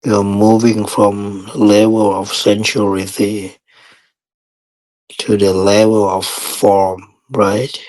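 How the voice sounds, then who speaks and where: A man teaching guided meditation in short, measured phrases with long pauses between them. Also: lowish voice, level tone, a little mellow, low-quality recording.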